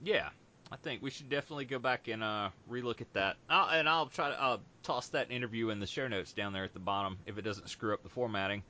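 Brief: male co-host, casual and meandering, bright tone, dull lo-fi audio. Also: medium pitch, quick pace. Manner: rambling, relaxed, many fillers. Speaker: podcast host. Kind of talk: casual chat.

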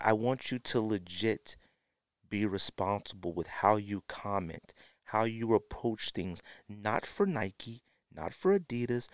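A man with a fairly low voice, recorded close and dry, hosts a podcast solo. He talks casually and with strong opinions, leaning hard on key phrases and repeating them to drive the point home. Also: dry recording. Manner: emphatic, repeats phrases for effect. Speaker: podcast host.

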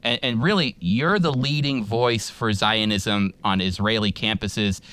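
A man's voice: on the low side, a little slow, fairly bright tone, clean tone. A man hosting a radio interview, asking questions earnestly and enthusiastically. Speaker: radio show host.

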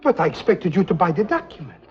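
An actor playing a sly, nervous Swiss go-between in a 1950s TV spy drama, husky and formal. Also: male voice, sits high, fast, full character voice. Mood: annoyed, uneasy. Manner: excitable, formal, stammering under pressure. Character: sly, nervous Swiss innkeeper go-between selling a secret document. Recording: dull 1950s TV film soundtrack.

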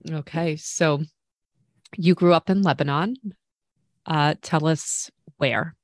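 A woman's voice: sits low, takes it slowly, no echo. A woman hosting a casual interview, encouraging her guest with frequent murmured acknowledgements. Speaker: podcast host.

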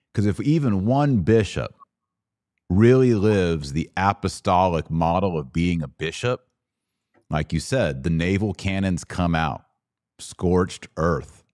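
A man hosting a podcast, speaking in a measured way as he steers the discussion. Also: sits low, darkish tone, no room sound, clean studio sound. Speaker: podcast host. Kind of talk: conversational podcast talk.

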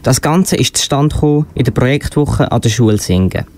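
A man's voice: medium pitch, expressive, a little fast, a little mellow. A young-sounding male narrator with a Swiss German accent, reading a scripted children's story. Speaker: narrator and presenter of a school radio play. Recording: slightly noisy amateur recording with clicks.

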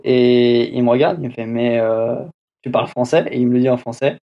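A man's voice: medium pitch, fairly even intonation, fairly quick, vocal fry, lo-fi sound. A man from France chatting casually as a podcast guest, rambling with many restarts and fillers. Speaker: guest reporting on a trip.

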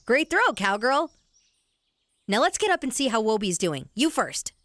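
A woman's voice: fairly high pitch, very animated, measured pace, fairly bright tone, no room sound. A woman playing a practical, sceptical young boy in a family audio drama. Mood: matter-of-fact.

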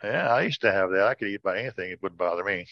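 A man's voice: sits low, lively intonation, fast talker, vocal fry. A man co-hosting a casual talk show, joking around with playful wordplay. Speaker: show co-host. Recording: dull lo-fi, roomy echo.

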